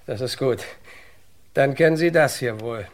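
A man's voice: mid-range voice, measured pace, close to an everyday voice. An actor playing a cool gunman in a radio crime drama, curt, mocking and commanding. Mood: curt, menacing. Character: cool, sneering male gunman robbing a hotel guest.

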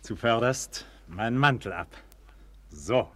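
A man's voice: medium pitch, lively intonation, takes it slowly, sharp tone, full character voice. An actor playing a confident, affectionate nobleman in a 1940s German radio play, declaiming theatrically and shifting between grand and intimate delivery. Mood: playful, easy. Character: confident, affectionate nobleman and count, warm lover. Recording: old 1940s radio recording.

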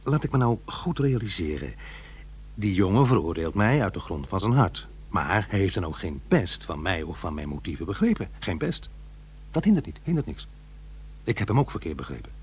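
A middle-aged divorced father, with a lowish, husky voice. He broods and argues with himself in a hesitant, aggrieved way, and his pitch moves up and down a great deal. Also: very animated, normal speed, a little mellow, heavily acted. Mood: pondering. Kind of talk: naturalistic radio play acting.

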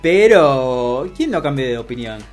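A man's voice: medium pitch, big pitch swings, measured pace. Argentine man co-hosting radio over music. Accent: Argentine Rioplatense. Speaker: radio co-host. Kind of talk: casual radio talk and announcements.

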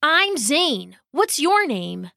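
A woman's voice: sits high, sing-song, very slow, gravelly, pushed and bright, dry recording, clean studio sound, voice pushed up, exaggerated voice. A woman voicing an eager, insistent young schoolboy in a children's story, emphatic and pleading. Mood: amazed.

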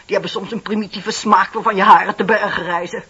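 An actress playing a stiff, haughty, indignant older office worker with a husky, rough voice in a radio play. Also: female voice, medium pitch, steady tone, fast talker, a bit bright. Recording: dull old radio play recording.

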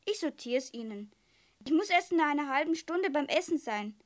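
A woman's voice: high voice, animated, normal speed. A female performer playing a polite, helpful young girl, speaking eagerly in a children's radio play.

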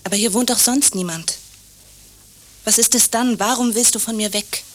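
A woman's voice: on the high side, normal speed, natural voice. A woman playing an anxious, clinging, lovestruck landlady in a naturalistic radio drama, insistent and repeating her short phrases. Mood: hesitant, pleading.

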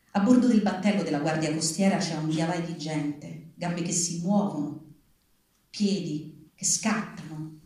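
A woman reads grave literary narrative aloud with measured, solemn phrasing. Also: fairly low pitch, warm tone. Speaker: reader at a public literary reading. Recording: very echoey room, distant from the mic.

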